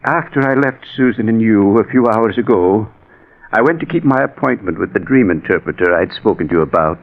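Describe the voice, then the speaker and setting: Solemn ghostly narrator, swooping pitch. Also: male voice, animated, fairly quick. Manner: solemn, theatrical. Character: earnest dead man's spirit narrating to his brother. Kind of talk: 1940s radio drama narration. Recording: thin, phone-like old radio recording.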